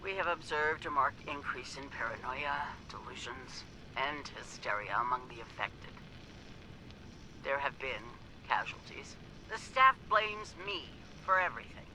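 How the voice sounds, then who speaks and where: An actress playing an arrogant, ruthless, zealous scientist in a video game audio log, with theatrical, deliberate enunciation. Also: female voice, low voice, background noise. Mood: bitter, uneasy.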